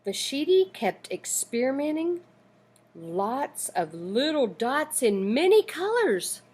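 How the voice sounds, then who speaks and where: A woman reading a children's picture book aloud in an expressive, deliberate, dramatic storytelling manner. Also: sits high, husky.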